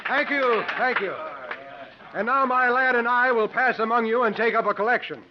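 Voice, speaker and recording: An actor playing a bold, self-assured strongman adventurer with a brisk, commanding manner in 1950s radio drama. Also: extremely high pitch, steady tone. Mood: proclaiming. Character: bold, confident strongman showman, male adventurer. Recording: muffled, noisy 1950s radio broadcast.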